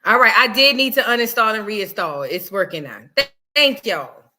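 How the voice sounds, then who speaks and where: A woman's voice: medium pitch, animated, moderate pace, sharp tone. A woman from New Orleans with a New Orleans accent, hosting a casual livestream and thanking viewers by name, calling them baby. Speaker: livestream host.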